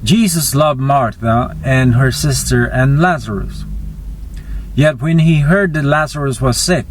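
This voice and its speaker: A man narrating scripture in an expressive reading style. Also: medium pitch, a little slow, slight background noise, rough recording.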